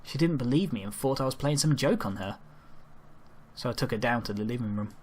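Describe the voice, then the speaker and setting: Measured, subdued man reading a story. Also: medium pitch, lively intonation, a little mellow. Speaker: amateur story reader. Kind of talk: dramatic first-person story narration.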